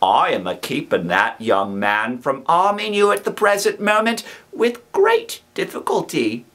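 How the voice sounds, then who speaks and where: A man performs a rough convict from a classic storybook, all menace and threat. The voice rides high and swings dramatically in pitch. Each rustic phrase is drawn out slowly and pressed home with emphasis. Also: sing-song, fairly bright tone. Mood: sinister, menacing. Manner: slow, emphatic rustic speech with nonstandard grammar.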